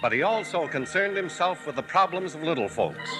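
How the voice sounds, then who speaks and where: A male announcer narrates a vintage radio western over clear organ music. His pitch is slightly high and swings widely, with dramatic, scripted delivery. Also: animated, fairly quick, poor audio. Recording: old 1950s radio recording.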